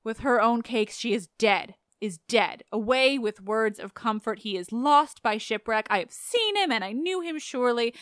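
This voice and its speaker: A woman's voice: a little high, smooth, no room sound, studio quality, close to an everyday voice. A woman voicing a grieving young wife who speaks in a raised, lamenting voice.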